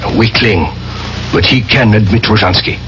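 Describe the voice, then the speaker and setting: An actor playing a cold, commanding spy chief in a radio drama, curt and authoritative. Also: male voice, fairly low pitch, moderate pace, fairly bright tone, close to an everyday voice. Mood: cold, urgent. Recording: dull 1970s radio recording, faint noise.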